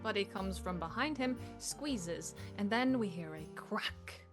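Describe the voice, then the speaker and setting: A woman's voice: medium pitch, animated, measured pace, music in the background. A woman reading stage directions as scripted narration at a live table read.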